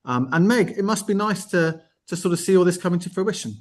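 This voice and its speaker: A man's voice: high-pitched, expressive, brisk. Chatty man hosting a casual online call. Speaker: host of an arts network online call. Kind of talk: casual live hosting chat. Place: on location at an art gallery, live video call.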